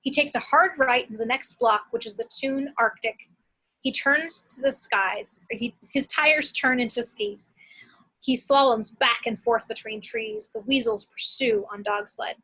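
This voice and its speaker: A woman's voice: sits high, lively intonation, a little slow, sharp tone, husky, low-quality recording. A woman narrating action descriptions from a script at an amateur table read.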